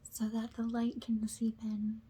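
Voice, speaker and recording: A soft-spoken woman who often drops into a whisper as she gently guides an ASMR spiritual cleansing. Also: on the high side, completely flat delivery, measured pace, breathy edge, low-quality recording. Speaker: ASMR energy healer, host.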